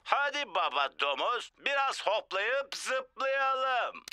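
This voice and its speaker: Bright, swooping cartoon potato TV host. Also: male voice, extremely high pitch, slow, slight background noise, heavily acted. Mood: rousing. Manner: showy, energetic, commanding. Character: exuberant talking potato, TV fitness show host. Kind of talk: cartoon TV presenter.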